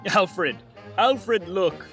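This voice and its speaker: A man playing a kindly, jolly old Santa Claus in a radio drama, gentle and avuncular. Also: high-pitched, very slow, faint music behind, exaggerated voice. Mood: delighted, excited. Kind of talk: radio play acting.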